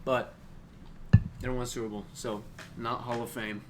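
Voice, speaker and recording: A man's voice: mid-range voice, flat delivery, moderate pace, heavy background noise. A man co-hosting a sports podcast in casual banter, slangy and jokey, often slipping into mocking imitations of players.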